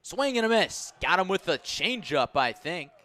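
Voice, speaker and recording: A male radio baseball announcer with a bright, forceful voice pitched a little high. He delivers live play-by-play in short, punchy phrases that build with the action. The sound is close and dry, on a broadcast mic. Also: very animated, normal speed, no echo. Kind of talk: live sports play-by-play commentary.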